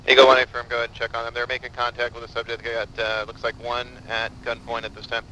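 A man's voice: mid-range voice, monotone, fast talker, bright, forceful tone, a little hiss or hum, rough recording. A man giving terse, procedural police radio reports as an air unit in game role-play.

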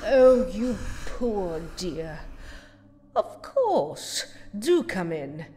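An actor playing a cold, scheming alien scientist villainess in an audio drama, haughty and grandiose. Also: male voice, high-pitched, very animated, extremely slow, heavy background noise, heavily acted. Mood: falsely sweet. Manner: haughty, grandiose, falsely sweet. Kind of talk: fan audio drama acting. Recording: long hall reverb, music underneath.

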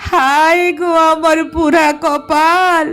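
A woman reciting dramatic poetry as a poor, ill-fated village girl in a rural western Bengal dialect, with a plaintive, emotive, drawn-out delivery. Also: very high voice, level tone, fairly bright tone, soft background music, voice pushed up, full character voice. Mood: despairing.

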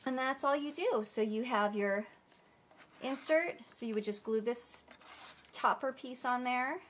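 A woman's voice: on the high side, slow, long pauses, slight background noise. Stuffy-voiced woman demonstrating a craft. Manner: chatty, friendly, searches for words. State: stuffy, under the weather. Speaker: crafting channel host. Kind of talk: casual tutorial demonstration. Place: indoors at a craft table.

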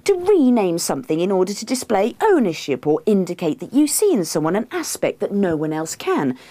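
A woman's voice: mid-range voice, mellow, no echo, pitched above the performer's own voice. A woman voicing a needy, insistent wife, pleading and indignant, in a comic book reading.

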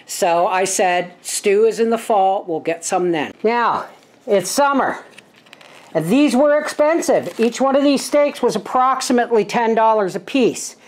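Slow-talking, folksy older woman. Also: a little high, lively intonation. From Canada. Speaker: homesteading vlogger and host. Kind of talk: casual vlog narration. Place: home kitchen, indoors.

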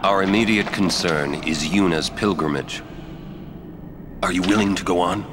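An actor playing a stern, gruff middle-aged warrior guardian in a video game, curt, blunt and commanding. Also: male voice, low-pitched, normal speed, background noise, close to an everyday voice. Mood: grave, resolute.

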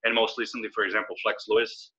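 A man in his forties from Serbia talks at an easy, explaining pace. His voice sits a little low but sounds very bright and forward. It comes through a video call with slightly dull, lo-fi audio. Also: flat delivery. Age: 40s. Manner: easygoing, measured and explanatory. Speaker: guest. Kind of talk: casual interview. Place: indoor room with brick wall. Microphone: video call webcam.

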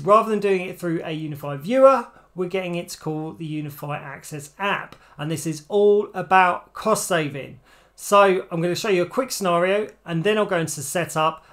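An English man in his forties presents a tech tutorial in a somewhat high-pitched voice. He explains things step by step, informally and in plain terms, as an instructor would. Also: brisk, a bit bright. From United Kingdom.

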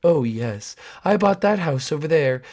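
A man voicing a creepy, smooth-talking male neighbor who speaks slowly and quietly. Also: a little high, animated. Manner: slow, hushed, oily folksy talk.

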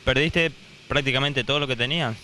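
A male reporter fires off brisk, direct questions in a very fast, bright and forceful voice. Faint outdoor noise surrounds him in the field recording. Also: medium pitch. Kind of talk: interview questions.

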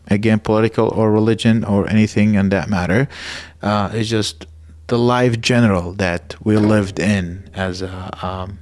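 A man in his thirties from Iraq, a guest telling his own story in a podcast interview, earnest and halting, with many fillers and restarts, at a boom-arm mic in a small studio room. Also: a little mellow, no room sound.

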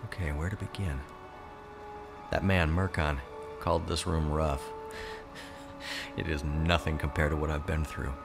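Brooding, deep-voiced elite soldier. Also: male voice, fairly even intonation, measured pace, gravelly, pauses often, music in the background, full character voice. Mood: grave, reflective. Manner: grave, earnest, dramatic. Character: brooding elite soldier recounting his past. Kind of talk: audio drama monologue and dialogue.

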